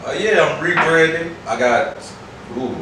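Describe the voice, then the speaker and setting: A distant, heavily echoing recording with clear background noise carries a man's voice. He talks in loose, slangy conversation as an interview guest from Chicago. His delivery is playful and joking, like someone swapping stories with a friend. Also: on the high side, a little slow. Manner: playful, animated storytelling. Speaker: guest, rapper and producer. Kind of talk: casual slangy joking chat. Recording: distant, very echoey, noisy room.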